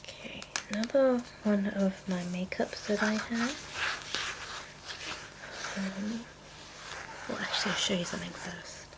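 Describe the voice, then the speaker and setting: A woman whispering in a breathy, hushed, unhurried way with halting pauses, presenting an ASMR show-and-tell. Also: medium pitch, noisy background. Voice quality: breathy whisper with creaky edges. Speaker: ASMR video host.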